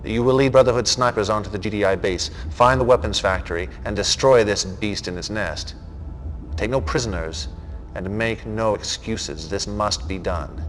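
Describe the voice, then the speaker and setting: Menacing male cult leader acted by a man, deepish voice, measured commanding delivery, game cutscene, music behind. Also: natural voice. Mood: cold command. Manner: measured, formal, imperious. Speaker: villainous faction leader giving mission briefings. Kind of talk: 1990s video game cutscene acting.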